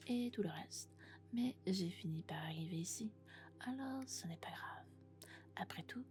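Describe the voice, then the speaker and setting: Woman as a soft whispery friendly robot companion. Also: medium pitch, natural voice. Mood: tender, reassuring. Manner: gentle, reassuring, alternating soft speech and whispers. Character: friendly, doting female robot caring for a human. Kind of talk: French ASMR roleplay, soft-spoken and whispered.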